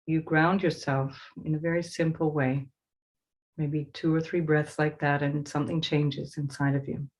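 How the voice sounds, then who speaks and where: A woman leading a guided meditation as a teacher, giving slow, soothing instructions with pauses. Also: deep voice, a little mellow, low-quality recording.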